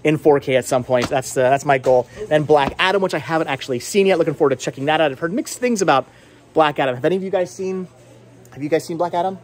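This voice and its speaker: A man in his forties with a slightly high voice, vlogging as he shops indoors. He rambles playfully, breaking off and correcting himself, in a casual, chatty style into a camera held at arm's length. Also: fast. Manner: rambling, self-interrupting, playful. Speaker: vlogger and movie collector. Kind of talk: casual chatty shopping vlog. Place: indoor video and game shop. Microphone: handheld camera at arm's length.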